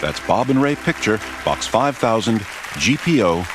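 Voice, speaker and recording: A man's voice: fairly low pitch, very animated, normal speed, over music, a crowd in the background. A man reading a scripted radio announcement.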